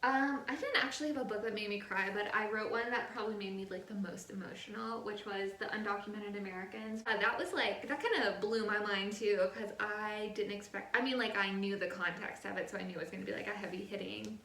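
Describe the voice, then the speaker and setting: A woman's voice: mid-range voice, brisk, few pauses, sharp tone. A woman in a casual, filler-heavy book chat, picking her words hesitantly as she goes. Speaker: co-host or guest on book vlog. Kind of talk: casual chat about books. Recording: echoey room with clear background noise.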